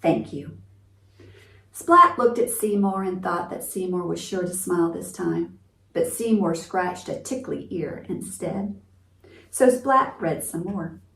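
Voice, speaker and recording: A woman's voice: fairly low pitch, a little slow, creaky, pushed and bright. A woman hosting storytime for young children, speaking in a simple, encouraging, teacherly way as she reads and explains. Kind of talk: picture-book reading and explaining to young children. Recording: roomy, distant mic, faint noise.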